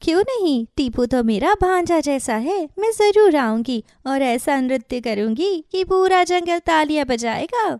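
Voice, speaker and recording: A woman's voice: high-pitched, expressive, a little slow, few pauses, a little mellow, no room sound, studio quality, voice pushed up. A woman voicing a friendly, eager female peacock dancer in a children's cartoon, sisterly and enthusiastic. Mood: welcoming, eager. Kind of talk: children's story cartoon dialogue.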